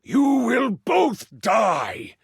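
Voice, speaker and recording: Gravelly, menacing villain king. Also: very high voice, huge pitch swings, dry recording, clean studio sound, pitched above the performer's own voice, full character voice. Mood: enraged. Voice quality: gravelly, husky. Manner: slow, menacing, drawn-out delivery. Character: ruthless, wrathful monstrous king, menacing and cold. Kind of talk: dramatic fantasy game acting.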